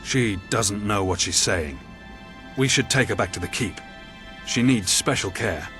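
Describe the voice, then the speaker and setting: A man playing a grim military prison officer in a video game drama, speaking in a measured, serious way. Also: fairly low pitch, lively intonation. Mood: grave, urgent. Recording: echoey hall, distant, music underneath.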